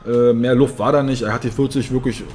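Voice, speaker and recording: A man's voice: medium pitch, fairly even intonation, darkish tone. A man in his thirties co-hosting a casual market chat, thinking aloud with lots of fillers. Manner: quick, chatty, thinking aloud with many fillers. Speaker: co-host of a crypto trading show. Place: indoor room, seated at a table.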